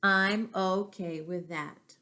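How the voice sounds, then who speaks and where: A woman voicing an earnest, friendly egg from a children's storybook, speaking expressively with drawn-out words. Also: mid-range voice, fairly even intonation, pushed and bright. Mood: earnest, resolved.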